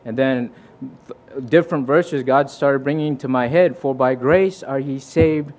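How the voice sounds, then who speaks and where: A man's voice: mid-range voice, a little slow, a little mellow. A young man from Fiji preaching in a church, telling his testimony earnestly and conversationally, with restarts and quoted scripture. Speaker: visiting preacher. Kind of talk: sermon with personal testimony.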